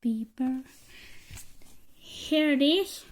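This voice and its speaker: A reader with a childlike voice reads a children's picture book aloud haltingly, stumbling over and repeating words. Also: high voice, animated, a little hiss or hum. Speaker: storybook reader.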